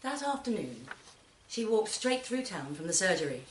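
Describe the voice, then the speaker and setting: Woman, live story reading, measured and expressive, deliberate pauses, roomy sound, distant mic. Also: mid-range voice, a little hiss or hum. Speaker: actor reading a short story. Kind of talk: dramatic literary reading to a live audience. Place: performance space in a library centre.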